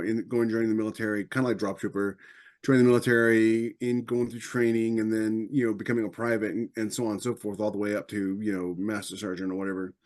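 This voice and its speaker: A man speaking casually as a podcast host and author, in a rambling, conversational storytelling way. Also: fairly low pitch.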